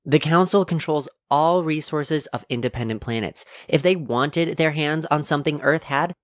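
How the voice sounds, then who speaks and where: Woman voicing a low-pitched, polite robot helper. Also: dry recording, clean studio sound, lightly acted. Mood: earnest, explaining. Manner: formal, measured, careful phrasing. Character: polite, precise robot AI helper, a little unsure. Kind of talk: science fiction audio drama acting.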